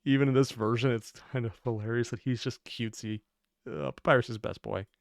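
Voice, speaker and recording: A man's voice: mid-range voice, steady tone, fairly quick, vocal fry, no echo. Casual male reaction commentator, close dry sound. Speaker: reaction video host.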